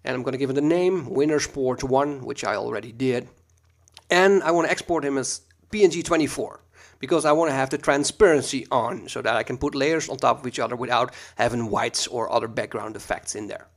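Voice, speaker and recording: A Dutch man with a Dutch accent, teaching a screen-recorded software tutorial in a relaxed, chatty way full of ums and uhs, recorded at a computer mic. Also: mid-range voice, fairly quick.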